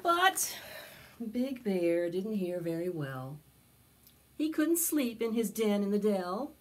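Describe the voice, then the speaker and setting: An older woman hosting preschool storytime, reading a picture book aloud with expressive, drawn-out phrasing. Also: mid-range voice, noisy background. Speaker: library preschool storytime host. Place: small indoor space with decorated backdrop.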